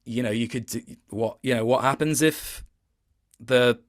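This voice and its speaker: A man speaking as an interview guest in a casual chat, thoughtful and halting, often reworking his sentences as he goes. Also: medium pitch. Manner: thoughtful, halting, with many self-corrections.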